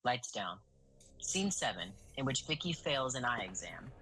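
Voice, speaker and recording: Bright-voiced woman narrating an audio drama. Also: very deep voice, sing-song, unhurried, faint music behind, poor audio.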